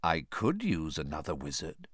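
A man voicing a cold, frail but menacing dark lord in an audiobook, with a soft, breathy hiss and slow, sneering delivery. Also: medium pitch, big pitch swings, dry recording, clean studio sound, pitched above the performer's own voice. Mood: cold, soft menace.

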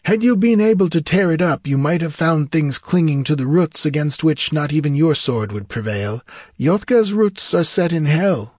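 A man's voice: on the high side, lively intonation, moderate pace, a little mellow, no echo, studio quality, pitched above the performer's own voice. A man voicing an aristocratic, wry sorcerer in a fantasy audiobook, with courtly, archaic and dramatic phrasing. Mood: wry, knowing. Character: tall aristocratic sorcerer freed from long torment, eloquent, wry.

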